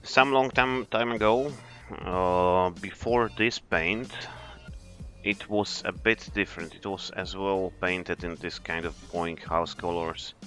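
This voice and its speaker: A man, an airline captain, chatting in a relaxed, explanatory way as he streams a flight simulator session. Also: deep voice, takes it slowly, faint music behind. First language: Polish. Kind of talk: live stream commentary.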